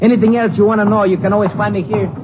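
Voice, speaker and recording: A noisy, dulled old radio recording carries an actor as a streetwise male boxing trainer with a fairly high voice. He is chatty and evasive, talking in excitable, halting bursts and repeating himself. It is played as 1950s radio drama. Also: muffled recording, lightly acted. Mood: uneasy, glib.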